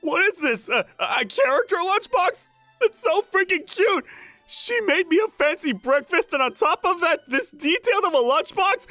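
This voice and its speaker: A woman's voice: mid-range voice, moderate pace, no echo, close to an everyday voice. A woman voicing a flustered, lovestruck young working man who gushes and stammers in a manga dub. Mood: giddy, gushing. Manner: excitable, stammering, gushing. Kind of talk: manga dub voice acting with narration. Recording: music under the voice.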